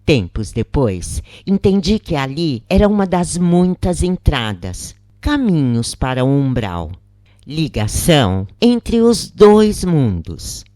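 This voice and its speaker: A narrator reading a scripted first-person account in measured, deliberate phrases with pauses. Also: medium pitch, sing-song, warm tone, dry recording, clean studio sound.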